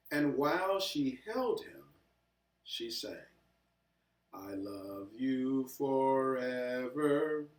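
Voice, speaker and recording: A man hosting and telling a story in an earnest, deliberate way, with pauses and repeated phrases. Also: medium pitch, darkish tone, slightly rough. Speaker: host and storyteller. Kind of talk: earnest address and read-aloud storytelling. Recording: echoey, distant microphone.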